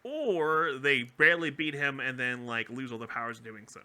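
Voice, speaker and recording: A man chatting casually as a podcast co-host, explaining fan theories in an animated, geeky way and often correcting himself. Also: medium pitch, moderate pace.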